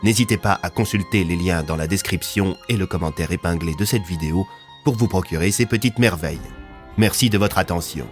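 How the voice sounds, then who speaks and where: A man's voice: low-pitched, fairly quick, soft background music. A man narrating his audio fiction from a script as its author.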